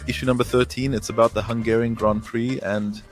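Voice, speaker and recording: Flat-voiced male show host. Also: medium pitch, brisk, soft background music. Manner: scripted introductions, poses questions to the guest. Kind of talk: hosting a discussion show.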